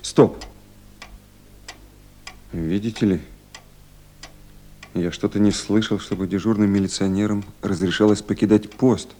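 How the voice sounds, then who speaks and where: Low, mellow, reserved man. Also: flat delivery, slight background noise. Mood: calm, dry. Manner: terse, measured, understated. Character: calm, reserved, courteous man of few words. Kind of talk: Soviet radio drama acting.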